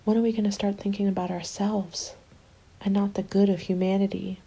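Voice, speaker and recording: A woman playing a weary survivor of a zombie winter in a soft, breathy, confiding ASMR roleplay. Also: mid-range voice, flat delivery, fairly quick. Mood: frustrated, defensive.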